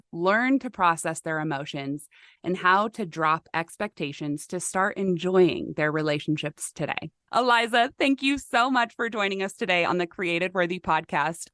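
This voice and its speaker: A woman's voice: on the low side, a bit bright, dry recording, studio quality. A woman hosting a podcast, reading a guest introduction and prompting her guest with short, encouraging replies. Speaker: podcast host. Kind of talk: scripted guest introduction and interview.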